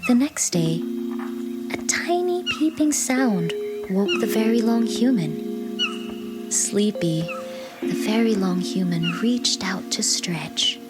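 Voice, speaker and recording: Casting: female storyteller for fairytale narration, very unhurried, mid-pitched, prominent music underneath. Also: animated.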